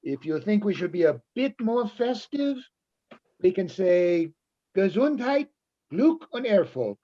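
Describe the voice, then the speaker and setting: Slightly dull audio carries a man acting as a friendly bar patron in a radio-style drama. He is earnest and explanatory, unhurried, with a very lively intonation. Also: high voice, exaggerated voice. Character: friendly Austrian-toast-teaching bar patron, adult man. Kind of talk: radio-play style readers theatre acting.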